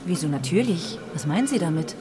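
Lowish-voiced, wry woman detective played by an actress. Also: animated, brisk, over music, natural voice. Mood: probing, firm. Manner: dry, probing. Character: direct, wry female homicide detective. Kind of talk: radio drama dialogue.

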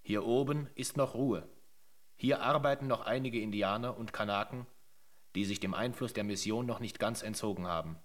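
A man's voice: on the low side, moderate pace, natural voice. Actor as a sober radio drama narrator, male. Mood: measured. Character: sober chronicler narrating a gold rush history.

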